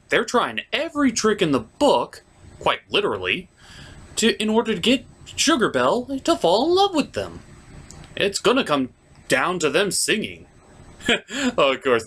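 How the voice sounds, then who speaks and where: Animated man reviewing a cartoon episode. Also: a little high, breathy edge. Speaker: cartoon review show host. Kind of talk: casual episode review commentary.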